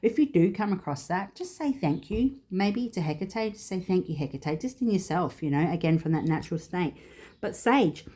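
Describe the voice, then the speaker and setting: A woman's voice: fairly low pitch, expressive, moderate pace, warm tone. A woman with a congested, stuffy voice giving a chatty, rambling spiritual card reading full of fillers. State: congested with sinus trouble. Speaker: tarot and oracle card reader.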